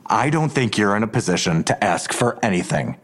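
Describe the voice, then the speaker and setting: A menacing police detective in an audio drama. The voice is low, and the questioning is controlled and unhurried. He taunts coolly and insinuates. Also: very animated. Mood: taunting.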